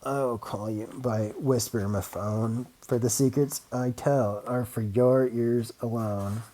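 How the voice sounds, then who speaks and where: A man's voice: medium pitch, steady tone, slow pace, dark tone, lightly acted. A man playing a secretive old hermit who tells his wistful, defensive tale in a storybook reading, with dramatic phrasing.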